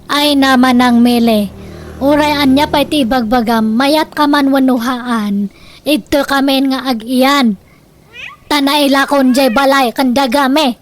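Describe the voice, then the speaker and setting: Radio drama: scheming Filipino mother, very high voice, sharp tongue, some noise on the recording. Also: unhurried. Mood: insistent. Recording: noticeable background noise.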